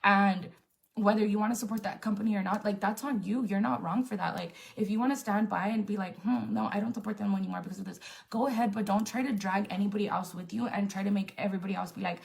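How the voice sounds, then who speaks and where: A woman's voice: medium pitch, fairly even intonation, quick pace, gravelly. A young woman influencer venting bluntly and defiantly to her viewers on a live stream, swearing often and filling with "like". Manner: blunt and defiant, swears a lot, many "like" fillers. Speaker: influencer on a livestream.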